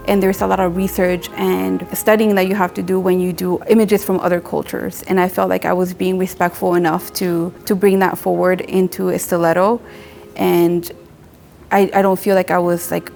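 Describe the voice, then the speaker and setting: A young adult woman from Mexico, a tattoo artist, talking casually in a relaxed, rambling way with lots of filler words. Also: mid-range voice, gravelly. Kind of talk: casual interview monologue.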